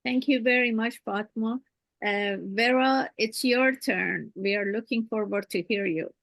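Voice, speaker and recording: A woman moderates an online panel, passing the floor with short, polite lines. Her voice sits fairly high and the sound is dry. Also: measured pace, gravelly, a bit bright, no room sound. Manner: brief, polite hand-offs.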